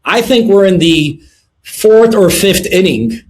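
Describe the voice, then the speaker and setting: A middle-aged man from Lebanon answering interview questions in a measured way, with many filler sounds between phrases. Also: high-pitched, lively intonation, darkish tone. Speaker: guest, precious metals dealer.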